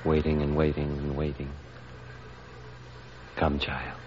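Slow, bottomless, sinister luring man. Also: a bass voice, monotone, a little mellow. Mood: eerie, coaxing. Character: sinister, hypnotic man luring a girl into darkness. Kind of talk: 1940s radio acting. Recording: dull, noisy 1940s radio recording.

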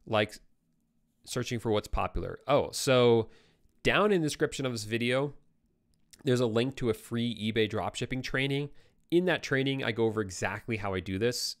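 Young man hosting a tired-voiced live Q&A. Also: on the low side. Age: young adult. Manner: relaxed, conversational, reads viewer comments aloud. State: voice getting tired and hoarse. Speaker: livestream host answering viewer questions. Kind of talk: casual live Q&A. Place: home studio with brick wall. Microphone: boom-arm studio microphone close up.

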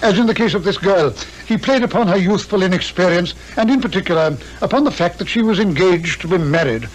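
An actor playing a pompous, condescending psychiatrist-detective with a British accent, precise and wordy in a 1950s radio drama. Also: male voice, high voice, animated, brisk. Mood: pompous, dry. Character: pompous, cool, learned male psychiatrist-detective. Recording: noisy 1950s radio recording.

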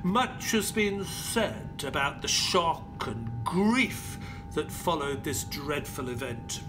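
A proper British gentleman doctor acted by a man tells his story in a formal, measured way. His delivery is dramatic and expressive, with lively pitch movement, over a music bed. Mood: reflective. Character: middle-aged English army veteran doctor, proper, reflective. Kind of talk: dramatic first-person scripted narration.

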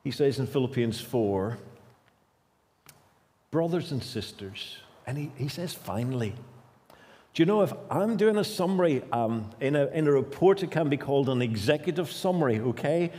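A man's voice: mid-range voice, expressive, normal speed, mellow. A man in his fifties with a Northern Irish accent, preaching an emphatic sermon at a lectern in a church hall. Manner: emphatic, repetitive preaching with sudden bursts of speed. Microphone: stand mics at lectern, far camera.

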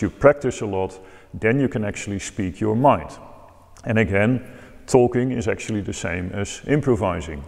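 A measured Dutch-accented man in his forties talks through his teaching ideas, his low, gravelly voice carrying from a distance. The sound rings with the long reverb of a large church. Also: a little mellow. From Netherlands. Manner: measured, thoughtful, gestures with hands while explaining. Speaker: organ teacher. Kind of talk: calm explanatory talk to camera. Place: large church with organ loft, indoors. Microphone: far camera, seated at a table.

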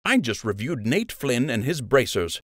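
A man's voice: medium pitch, big pitch swings, moderate pace, fairly bright tone, dry recording, clean studio sound, voice pushed up. A man voicing an angry, scornful rabbit officer in an audiobook, stern and sarcastic as he harangues. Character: angry, scornful rabbit lieutenant berating a young soldier.

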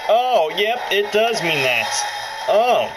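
A man giving casual gameplay commentary, talking to himself in a playful, mock-excited way. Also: sits high, slow pace, very noisy. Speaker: let's play commentator. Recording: loud game music over the voice. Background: handheld game console's loud music and sounds.

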